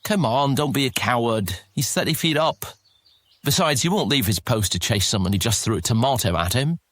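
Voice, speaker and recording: A man's voice: mid-range voice, animated, brisk, dry recording, lightly acted. A man playing a mischievous young street rat in a fantasy audio drama, teasing and persuading as he talks. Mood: goading, teasing.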